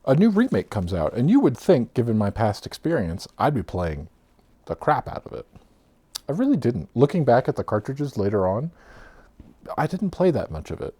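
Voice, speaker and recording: A man in his thirties casually telling his own story for a vlog, rambling and laughing as he goes, often trailing off softly, sometimes a little off his own mic. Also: medium pitch, huge pitch swings, moderate pace, husky. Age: 30s. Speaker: vlogger. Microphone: own mic, off-axis at times.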